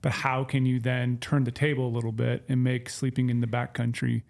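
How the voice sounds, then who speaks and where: A man's voice: mid-range voice, little pitch movement, fairly quick, gravelly, a little mellow. A man co-hosting a casual podcast, explaining his choices in an easygoing, plain way. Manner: easygoing, explains plainly, short agreeing replies. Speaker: podcast co-host.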